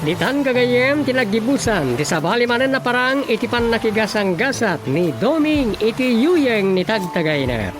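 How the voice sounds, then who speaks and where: High-pitched, theatrical male radio announcer, Ilocano accent. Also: sing-song, normal speed, few pauses, over music. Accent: Ilocano Filipino. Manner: emphatic, theatrical delivery. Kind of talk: dramatic scripted radio announcing.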